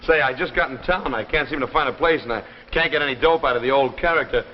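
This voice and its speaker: An actor playing a touchy, persistent American newspaper reporter in a 1950s TV drama, brusque and insistent. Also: male voice, mid-range voice, fast, close to an everyday voice. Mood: exasperated. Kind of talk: 1950s television drama acting. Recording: muffled, noisy 1950s broadcast in a reverberant studio.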